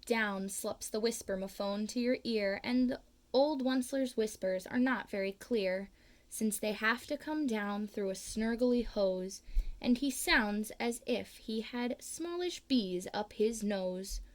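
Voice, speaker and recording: A woman reads a picture book aloud to children in a slow, unhurried way. Her voice sits a little high, and it leaps up on the words she wants to stress. She reads each line with storyteller care. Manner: slow, expressive reading with big pitch swings on key words.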